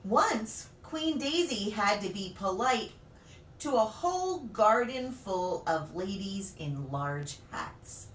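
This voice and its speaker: Female storybook reader for preschoolers, very lively intonation, deliberate pauses; roomy, distant recording. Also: medium pitch. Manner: deliberate phrasing with dramatic pauses. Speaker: pastor reading children's stories. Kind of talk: expressive storybook read-aloud for preschoolers. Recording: roomy, distant mic with faint noise.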